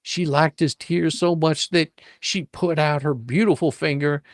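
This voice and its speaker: A man's voice: on the high side, fairly even intonation, measured pace, dry recording, clean studio sound. A man reading a storybook dramatically, with theatrical delivery and playful, folksy, ungrammatical embellishments. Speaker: reader, storyteller.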